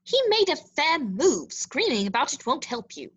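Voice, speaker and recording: A woman's voice: high-pitched, big pitch swings, normal speed, a bit bright, dull audio. Fussy little robot butler done by a woman, prim n worried, voice jumps all over, sci-fi table read. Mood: fussy, protesting. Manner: prim, formal, protesting. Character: fussy, anxious, polite protocol droid. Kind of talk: scripted table read acting.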